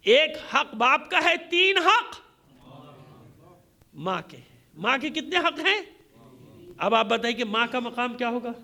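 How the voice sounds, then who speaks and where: A man's voice: extremely high pitch, very animated, takes it slowly, gravelly, pauses often, pushed and bright. A man preaching an impassioned majlis sermon in a combative, declamatory way, using rhetorical questions and emphatic repetition. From Pakistan.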